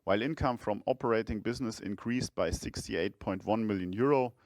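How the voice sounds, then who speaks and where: A man's voice: on the low side, brisk, darkish tone, no room sound. Man giving a formal, dry-sounding financial presentation. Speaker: chief financial officer presenting results. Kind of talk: formal scripted earnings presentation.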